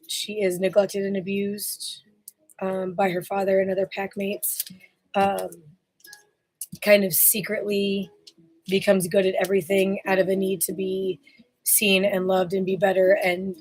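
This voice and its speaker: A middle-aged woman with a hoarse, worn-out voice, an author talking casually on a live stream with halting speech and frequent filler sounds. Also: medium pitch, rough recording. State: hoarse, losing her voice. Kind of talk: casual live-stream talk about her book.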